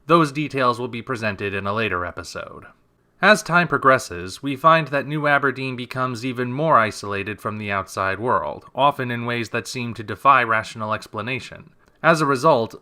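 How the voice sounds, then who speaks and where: A man reading scripted documentary-style narration in a measured, subdued, serious way. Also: medium pitch, bright, forceful tone.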